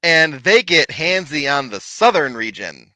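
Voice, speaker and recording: Animated man telling a ghost story. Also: a little high, measured pace, no echo. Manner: animated, laughing, big voice. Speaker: paranormal investigator guest. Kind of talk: casual storytelling chat.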